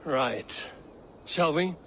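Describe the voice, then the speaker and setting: An actor playing a blunt, steady Viking warrior in a video game, with a terse, firm delivery. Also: male voice, a little high, very slow, creaky, a little hiss or hum, heavily acted. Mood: dry. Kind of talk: video game character acting.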